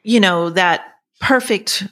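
Bright-voiced woman in her sixties, reflective. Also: medium pitch, slow pace. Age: 60s. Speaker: podcast guest. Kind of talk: conversational personal storytelling.

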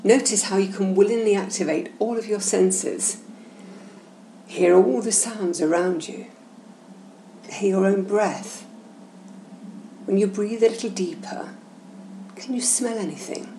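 A woman guiding a meditation session in a soothing, gentle, measured and instructional way. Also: medium pitch. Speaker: guided meditation host. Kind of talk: slow, soothing guided meditation narration. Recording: roomy echo, faint background noise.

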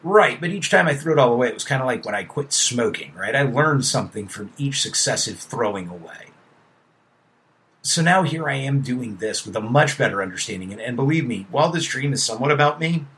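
A man's voice: mid-range voice, lively intonation, fairly quick, a bit bright. A man in his late thirties hosting a casual motivational monologue, emphatic and talking straight to the listener, sometimes dropping to a near-whisper. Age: 30s. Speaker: host.

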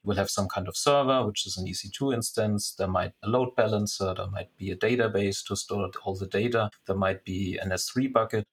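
Husky low-voiced man explaining. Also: fairly quick, few pauses. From Germany. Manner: methodical, step-by-step explaining with restarts. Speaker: security architect guest. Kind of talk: explaining in an interview.

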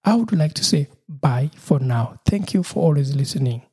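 A man's voice: a little high, lively intonation, moderate pace, background noise. A Ugandan man whose first language is Lusoga hosts his own podcast, talking cheerfully and laughing often as he repeats himself. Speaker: podcast host. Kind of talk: solo podcast monologue.

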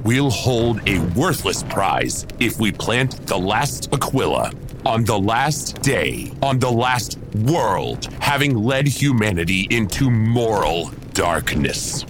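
A man playing a stern superhuman warlord in an audio drama, passing grave judgment in solemn, weighty oratory with a gravelly voice. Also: big pitch swings, slow, music in the background. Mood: solemn conviction. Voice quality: very deep, gravelly.

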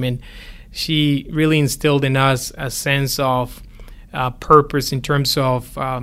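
Man with fairly even pitch. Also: clear, resonant tone. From Ghana. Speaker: neurosurgeon, interview guest. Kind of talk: conversational interview answers.

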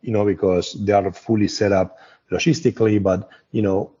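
A man's voice: fairly low pitch, steady tone, normal speed, warm tone, husky. A man from Argentina with an Argentine Spanish accent, speaking conversationally as a company co-founder being interviewed, in a discursive way with frequent verbal tags. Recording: dull, muffled lo-fi audio.

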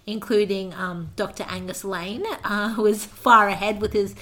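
A woman's voice: mid-range voice, level tone, normal speed, pushed and bright. A young adult woman hosting a podcast, telling an anecdote in a casual, chatty way with self-deprecating humour. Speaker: podcast host.

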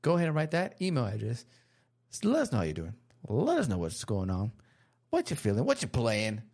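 A man's voice: medium pitch, wildly swooping pitch, brisk, a little mellow. A man co-hosting a podcast in a casual, upbeat chat, often repeating his phrases. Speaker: podcast co-host.

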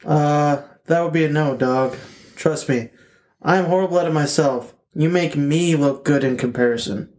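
Nervous husband, guy acting it, stammery and full of ums, voice a bit high. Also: normal speed, darkish tone, natural voice. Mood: flustered. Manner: hesitant, stammering, with many fillers. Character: nervous flustered husband answering the door.

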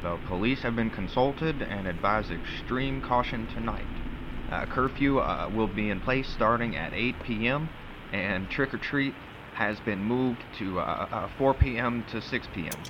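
Audio drama newsreader acted by a man: mid-pitched, halting, uneasy, dull lo-fi sound with clear traffic noise. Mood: hesitant, official. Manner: hesitant, stumbling. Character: uneasy male local TV newsman reading out warnings. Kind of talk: news bulletin in an audio play. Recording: dull broadcast sound under loud street noise.

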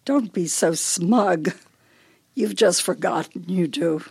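An actress playing a forgetful elderly wife in a radio play, teasing and fretful with a deliberate, wistful manner. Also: female voice, lowish voice, vocal fry, a little mellow, slightly rough, heavily acted. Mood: chiding, muddled.

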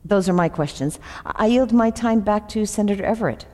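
A woman's voice: medium pitch, brisk, a little mellow, lightly acted. A woman playing a procedural senator questioning a witness in an audio drama, formal, direct and methodical. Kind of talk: fiction podcast audio drama. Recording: echoey hall with long reverb.

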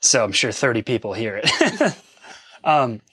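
A man's voice: medium pitch, sing-song, slow, pushed and bright, slightly rough, no echo. A man co-hosting a relationship advice talk, speaking in an earnest, casual way and getting emphatic when he quotes arguments. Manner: earnest, self-deprecating, emphatic when quoting. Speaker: podcast co-host. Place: lives in an RV park.

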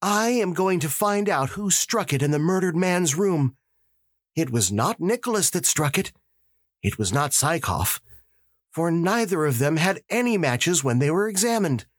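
A man voicing an excitable young detective. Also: no room sound, clean studio sound. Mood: insistent. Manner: raised high, rapid pleading delivery. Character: young male detective, eager, excitable, insistent.

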